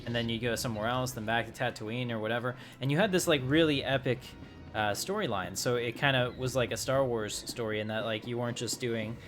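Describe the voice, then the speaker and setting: A man's voice: mid-range voice, a little fast. A man giving casual, rambling live commentary as he streams a game, talking conversationally to his viewers. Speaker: game streamer, channel host. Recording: loud music and game sound behind. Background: loud game music and effects.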